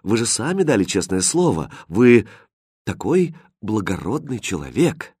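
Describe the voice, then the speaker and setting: A man voicing a lively young kitchen girl, kind and teasing, with a sing-song manner in a storybook. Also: on the low side, unhurried, breathy edge, no echo, studio quality, full character voice. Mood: sad.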